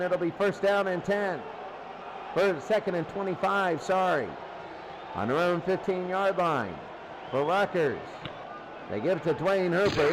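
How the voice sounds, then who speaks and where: High-voiced man calling football over noise. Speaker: play-by-play sports commentator. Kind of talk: American football radio-style play-by-play commentary.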